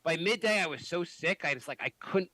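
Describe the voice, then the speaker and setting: A man hosting a podcast, chatting casually, animated and swearing often with many restarts. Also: fairly high pitch, fairly quick, no room sound. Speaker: podcast host. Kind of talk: casual chat.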